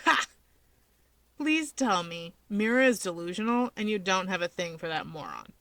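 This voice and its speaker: A woman voicing a gruff, cocky young male wizard in a story reading, blunt and sardonic as he teases. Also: medium pitch, very animated, moderate pace, fairly bright tone, no room sound, voice pushed down. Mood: dry teasing. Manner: blunt, sardonic, self-assured. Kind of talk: fan fiction character reading.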